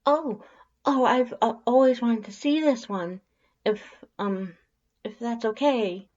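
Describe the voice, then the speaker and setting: A man's voice: very high-pitched, takes it slowly, a little mellow, muffled recording, voice pushed up. A man voicing a timid, nervous female monster in an audio story, stammering and hesitant. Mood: nervous, eager.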